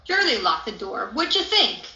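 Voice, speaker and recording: A woman's voice: medium pitch, very animated, normal speed, gravelly, sharp tone, heavily acted. A woman playing a blunt, sarcastic male juror in a scripted play reading. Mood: sarcastic, mocking. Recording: echoey room, dull lo-fi audio.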